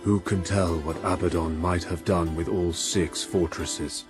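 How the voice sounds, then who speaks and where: A man playing a solemn war veteran, delivering scripted dramatic narration of a grim space chronicle in a measured, theatrical way. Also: low voice, fairly even intonation. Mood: somber, reflective. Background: loud music.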